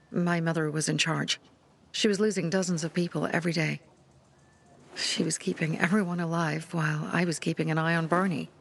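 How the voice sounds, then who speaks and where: A woman's voice: low voice, gravelly, fairly bright tone, slightly rough, heavily acted. A woman voicing a determined young female resistance leader in a video game, speaking earnestly and resolutely. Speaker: settlement leader.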